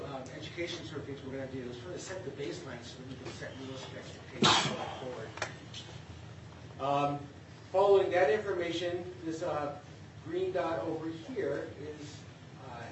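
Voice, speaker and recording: A man presenting a planning process at a community meeting, hesitant with many fillers. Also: fairly high pitch. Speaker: city staff presenter. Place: meeting room. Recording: echoey room, distant mic, background noise.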